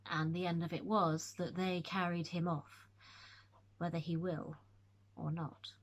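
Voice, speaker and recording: A woman voicing a gruff older country squire who speaks bluntly, with impatience and dry sarcasm. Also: on the low side, level tone, measured pace, pitched below the performer's own voice. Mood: grim, sardonic. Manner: brusque, sardonic.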